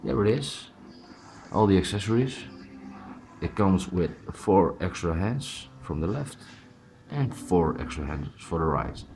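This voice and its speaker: A man with a Dutch accent gives a casual, hands-on amateur review. His voice is very deep and his delivery is slow. He speaks informally and haltingly in English, which is not his native language. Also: expressive, a little mellow. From Netherlands. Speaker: amateur collectible toy reviewer.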